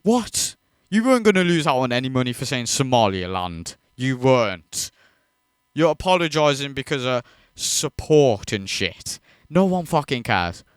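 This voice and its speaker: A man's voice: medium pitch, sing-song, moderate pace, clean tone, no echo, clean studio sound. A man hosting a reaction video, ranting in disbelief and swearing freely as he mocks what he watches. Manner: incredulous, profane, mocking.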